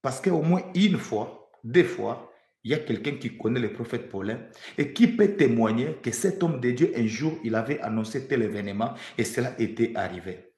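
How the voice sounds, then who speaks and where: A man's voice: mid-range voice, expressive, moderate pace, a little mellow, reverberant. A man from the Democratic Republic of the Congo preaching a prophetic sermon, fervent, repeating phrases for emphasis and stammering when he restarts.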